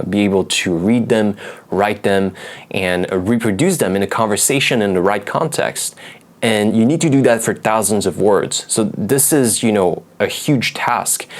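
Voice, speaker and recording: Young non-native English-speaking man, lowish voice, relaxed unscripted video explainer. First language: not English. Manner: loose and conversational, many fillers and restarts. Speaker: language learning video host. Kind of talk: unscripted explanatory talk to camera.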